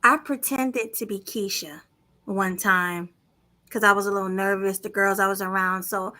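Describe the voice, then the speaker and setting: A soft-spoken woman hosting a casual podcast chat, candid and lively as she tells a story. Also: mid-range voice, moderate pace. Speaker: podcast host.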